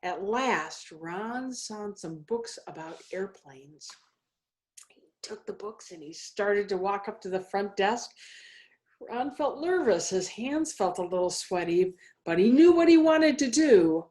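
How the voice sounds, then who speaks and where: A woman reads a storybook aloud for all ages at a very unhurried pace. Her phrasing is deliberate and expressive, and her voice sits at a medium pitch. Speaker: guest minister reading a children's story.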